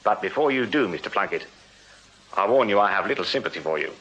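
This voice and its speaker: An actor playing a shrewd Victorian police sergeant in a radio drama, speaking formally and with insistent authority. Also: male voice, fairly low pitch, animated, fast talker, a bit bright, low-quality recording. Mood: cool, dry. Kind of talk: full-cast radio drama acting.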